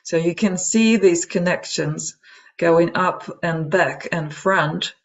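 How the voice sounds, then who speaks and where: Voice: medium pitch, slow. A native Russian speaker with a Russian accent, presenting an explanatory webinar lecture in informal, hesitant phrasing with frequent asides. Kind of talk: explanatory lecture to an online audience. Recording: dull lo-fi webinar audio.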